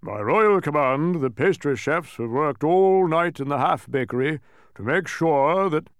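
A man's voice: fairly high pitch, lively intonation, measured pace, clean tone, no echo, studio quality, pitched above the performer's own voice. A man voicing a pompous, irritable king in a storybook, imperious and emphatic. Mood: grandly announcing. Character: pompous, irritable king with grand commanding airs.

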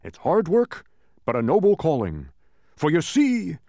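A man's voice: on the high side, huge pitch swings, a little slow, dark tone, dry recording, clean studio sound, voice pushed up, full character voice. A man voicing an earnest, proud storybook watchdog, sentimental and given to dramatic, lofty speeches. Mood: proud, impassioned. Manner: declamatory, dramatic. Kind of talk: children's storybook character voice.

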